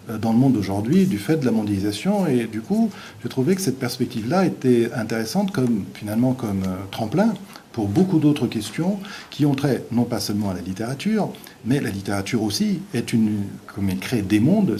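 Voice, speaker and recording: Halting, mellow-voiced male lecturer. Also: mid-range voice, a little hiss or hum. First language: Portuguese. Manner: halting, many restarts and filler sounds. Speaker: visiting university lecturer. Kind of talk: academic lecture. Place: university lecture room.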